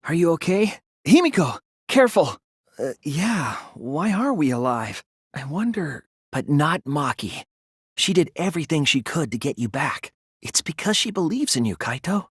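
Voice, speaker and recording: A man voicing an earnest, anxious, thoughtful teenage student detective in a video game, with a hesitant, soft, slightly husky delivery. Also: on the high side, sing-song, normal speed, dry recording, clean studio sound. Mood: concerned, gentle. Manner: hesitant, stammering when flustered, earnest. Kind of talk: English video game character voice acting.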